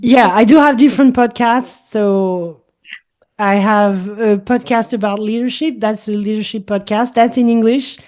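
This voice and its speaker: A woman's voice: on the high side, moderate pace, a little mellow. A middle-aged woman speaking English with a French accent, a holistic coach explaining her ideas as a guest in a casual interview, thoughtful and repeating her key points.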